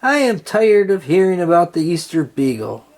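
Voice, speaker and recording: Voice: medium pitch, big pitch swings, normal speed, darkish tone. A storybook narrator voicing a grumpy, fed-up little boy who complains in exasperation. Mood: exasperated.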